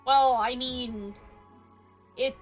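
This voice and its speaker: A woman streamer commenting casually on the game she plays. Her voice is fairly high and she takes her time, dropping dry, skeptical remarks. Soft game music sits behind her. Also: steady tone, drawn-out words, muffled recording. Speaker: live streamer playing a game.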